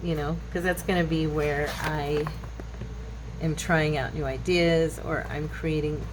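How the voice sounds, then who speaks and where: A woman's voice: deep voice, fairly even intonation, a little slow. A woman in her fifties chatting casually through a craft tutorial, rambling and musing aloud as she works indoors. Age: 50s. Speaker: craft channel host. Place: indoor craft table at home. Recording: clearly noisy home recording.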